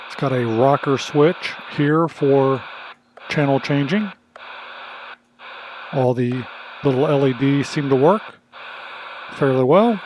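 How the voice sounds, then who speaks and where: A man's voice: a little high, darkish tone, no room sound. A man hosting a casual hands-on demonstration, talking in a plainspoken, folksy way. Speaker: video host demonstrating radio gear.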